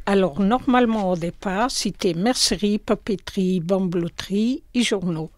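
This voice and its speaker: An older woman from Portugal with a Portuguese accent, interviewed in her small shop, speaking slowly and hesitantly with many fillers. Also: medium pitch, darkish tone, no room sound, clean studio sound. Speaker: shopkeeper.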